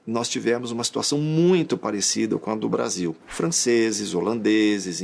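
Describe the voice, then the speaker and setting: Gravelly, husky man explaining. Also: medium pitch. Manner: explains casually, with tag questions. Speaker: expert interviewee. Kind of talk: explanatory interview talk.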